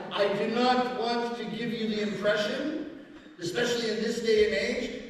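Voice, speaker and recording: A man performing comic storytelling live on stage, with playful, deadpan asides to his audience. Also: high-pitched. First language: not Japanese. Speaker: rakugo storyteller. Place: large hall with live audience. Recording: echoey hall, mic from far off.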